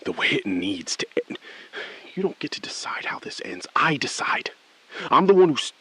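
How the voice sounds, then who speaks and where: An actor playing a driven, desperate bank robber turned whistleblower in an audio thriller, halting and confrontational. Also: male voice, medium pitch, big pitch swings, fairly bright tone, breathy edge, whispered. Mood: hushed menace. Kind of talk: scripted audio thriller acting.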